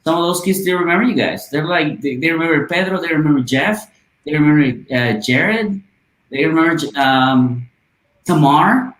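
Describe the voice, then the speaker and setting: A man's voice: on the high side, lively intonation, moderate pace, fairly bright tone. Earnest man, roomy sound. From Guatemala. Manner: earnest, passionate, with many "uh"s. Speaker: guest from a volunteer charity. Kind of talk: casual podcast interview.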